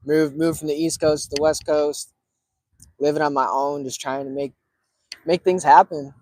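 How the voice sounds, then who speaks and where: A man's voice: on the high side, normal speed, a little hiss or hum. Laid-back man in his thirties chatting. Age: 30s. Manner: relaxed, filler-heavy, rambling. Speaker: cigar streamer. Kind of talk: casual chat to viewers.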